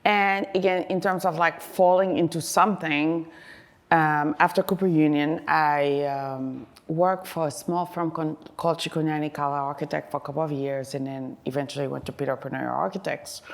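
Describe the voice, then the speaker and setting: A middle-aged woman from Haiti talks on a panel about her path into architecture. Her voice is low with a gravelly, fry-laden edge. She speaks loosely, with fillers and self-deprecating asides. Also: fairly even intonation, moderate pace. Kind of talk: panel discussion answer.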